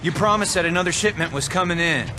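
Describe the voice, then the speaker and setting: An actor playing a panicky, strung-out drug addict in a video game, frantic and pleading. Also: male voice, high voice, quick pace, noisy background, natural voice. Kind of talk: video game cutscene acting.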